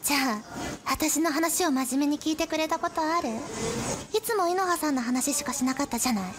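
A woman playing a hurt, bitter high school girl with prim, reproachful phrasing in a Japanese radio drama. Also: fairly quick, background noise, close to an everyday voice. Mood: hurt, pleading.

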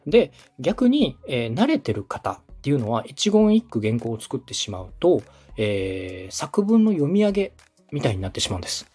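Lively, husky-voiced male podcast coach. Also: mid-range voice, normal speed. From Osaka, Japan. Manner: polite, explanatory, encouraging. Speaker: podcast host, coach, radio director. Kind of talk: instructional advice in a podcast dialogue.

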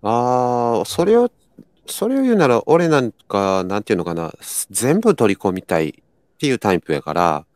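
Male, Kansai accent, mid-pitched and very melodic, halting casual chat. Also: sing-song, darkish tone, dry recording.

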